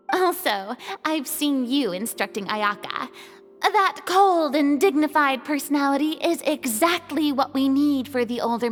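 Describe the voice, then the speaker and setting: An actress playing an eager young film director in a game, energetic and commanding as she organizes everyone. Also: high voice, big-room sound.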